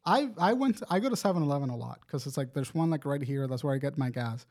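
A man's voice: fairly high pitch, animated, fast talker, darkish tone. An American man co-hosting a comedy podcast, ranting casually in a blunt, sweary, emphatic way. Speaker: podcast host.

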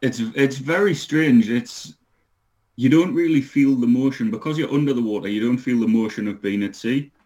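A man's voice: mid-range voice, normal speed, darkish tone. A man in his thirties from Newcastle in England, chatting as a podcast guest and hesitating often. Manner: hesitant, many ums and uhs, easygoing. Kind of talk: casual interview.

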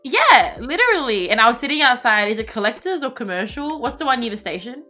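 A young woman with an Australian accent, co-hosting a podcast and telling a story in casual, animated chat full of fillers and swearing. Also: on the high side, quick pace, faint music behind. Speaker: podcast co-host.

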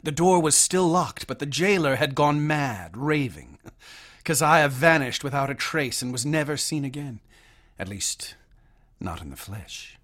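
An actor playing an obsessive, feverish young mathematics student in a radio drama, brooding and intense, sometimes hushed. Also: male voice, fairly high pitch, lively intonation, a little slow. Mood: hushed, ominous.

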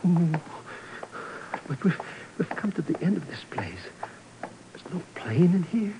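An actor playing an excitable, bumbling male sidekick in a 1950s radio drama, nervous, repetitive and given to stammering. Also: on the high side, slow pace, vocal fry, heavily acted. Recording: noisy, crackly 1950s radio recording.

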